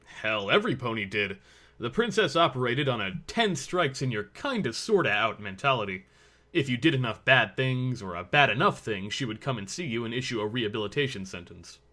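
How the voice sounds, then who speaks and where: A man's voice: mid-range voice, very animated, brisk, bright, forceful tone. A man narrating a scripted story as its reader.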